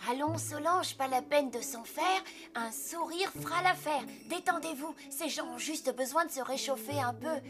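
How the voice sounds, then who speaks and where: A woman's voice: sits high, moderate pace, close to an everyday voice. A woman voicing an earnest, easily flustered young boy in a cartoon, polite and hesitant. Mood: reassuring. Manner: hesitant, polite, reassuring himself. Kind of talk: cartoon voice acting. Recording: music behind the voice.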